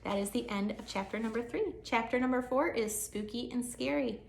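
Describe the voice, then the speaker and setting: A woman with a very high voice reads a children's storybook as a teacher would for her pupils. The recording has an echoey room sound. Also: fairly quick, vocal fry, slight background noise. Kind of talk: children's storybook read-aloud.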